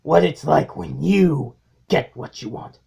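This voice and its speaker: A man's voice: on the high side, slow, vocal fry, darkish tone, husky, heavily acted. A man voicing a domineering robot warlord in a cartoon-style comic dub, delivering theatrical, menacing monologues full of cold mockery. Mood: scornful tirade. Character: domineering robot warlord, male, coldly mocking his underling. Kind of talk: amateur comic dub acting.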